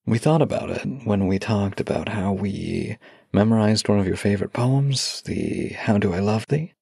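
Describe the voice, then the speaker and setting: A man with a low, gravelly, husky voice talks slowly and tenderly into a close microphone. His tone is teasing and affectionate. The sound is dry and intimate, with no room around it. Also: dark tone, no room sound. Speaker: voice actor. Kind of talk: intimate audio roleplay.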